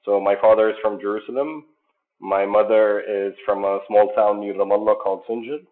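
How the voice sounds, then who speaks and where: A man from Jordan, founder of a sports program, answering interview questions in an earnest, measured way with many fillers. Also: on the low side, fairly even intonation. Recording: tinny phone-like line.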